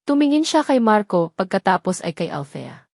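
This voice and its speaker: A woman's voice: medium pitch, very animated, normal speed, creaky, darkish tone, no room sound, clean studio sound. A woman with a Filipino accent reads a scripted romance story as a narrator, in a sentimental storytelling way.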